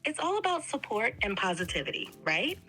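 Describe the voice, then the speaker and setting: Bright, upbeat woman's voice. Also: fairly high pitch, sing-song, a little fast, rough recording. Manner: agreeable, upbeat, polite.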